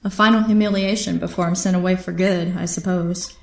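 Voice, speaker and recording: A woman's voice: lowish voice, fairly even intonation, brisk, vocal fry. An actress playing a defiant, disgraced air officer in an audio drama, solemn and formal in her speech. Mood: guarded. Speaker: voice actor. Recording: echoey space with faint noise.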